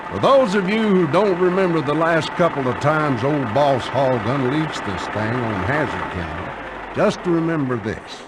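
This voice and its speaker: An actor playing a folksy, wry storyteller with a drawling Southern American accent, narrating a scripted tale. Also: male voice, a little high, animated, unhurried, heavy background noise. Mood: folksy storytelling.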